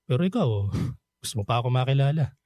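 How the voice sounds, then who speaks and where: An actor playing a kindly, bashful middle-aged Filipino bachelor in a radio drama, talking gently and considerately. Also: male voice, medium pitch, lively intonation, fairly quick, no echo, close to an everyday voice. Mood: reassuring.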